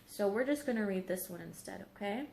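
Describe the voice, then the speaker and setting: A woman's voice: mid-range voice, animated, a little fast, vocal fry, darkish tone, a little hiss or hum. A woman teacher reading a story aloud to young children, explaining things simply and checking in with questions. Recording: roomy, echoing home recording.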